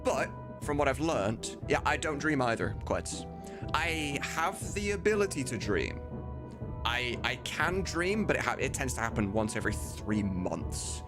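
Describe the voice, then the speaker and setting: A man's voice: mid-range voice, sing-song, measured pace. Candid rambling man over background music. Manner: candid, rambling, swears casually. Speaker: streamer. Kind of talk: casual livestream chat answering viewers.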